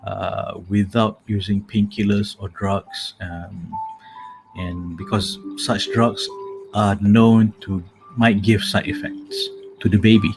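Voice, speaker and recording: Slow, soft-spoken man over music. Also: lowish voice, vocal fry. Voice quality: calming, soothing. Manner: soft-spoken, hesitant with many fillers. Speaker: host. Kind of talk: casual spoken sleep meditation talk. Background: calming music.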